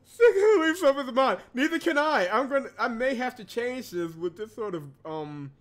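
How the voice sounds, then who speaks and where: A man's voice: very high voice, normal speed. A man streaming a story game, chatting casually with his chat and laughing often. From Georgia, US. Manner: chatty and animated, laughs often, reads game text aloud. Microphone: desk mic. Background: game soundtrack music.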